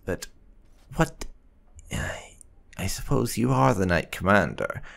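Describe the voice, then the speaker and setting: A man's voice: on the low side, expressive, takes it slowly, gravelly, a bit bright. A man hosting a let's-play, giving casual, musing commentary as he plays. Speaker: gaming channel host.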